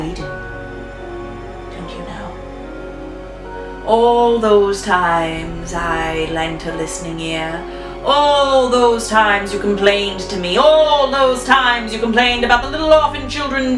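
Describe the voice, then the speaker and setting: A woman voicing a scheming seventy-year-old villainess who gloats in a drawn-out, theatrical way. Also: a little high. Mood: sly. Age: 70s. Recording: roomy, off-mic, music behind.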